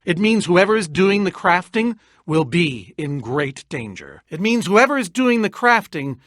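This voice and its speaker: An eccentric game inventor with a very animated, swooping delivery. He is boastful but jittery, wordy and theatrical, often trailing into hesitant asides. The sound is close and dry. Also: sits high, unhurried, no room sound, heavily acted. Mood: anxious, dramatic. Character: eccentric, boastful, nervous male inventor and adventurer. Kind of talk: video game character voice acting.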